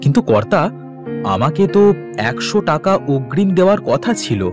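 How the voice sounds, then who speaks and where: Animated, stubborn young-sounding farmer played by a man. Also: a little high, normal speed, over music. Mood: indignant. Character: poor village milk farmer, stubborn, shrewd bargainer. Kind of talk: Bengali moral cartoon voice acting.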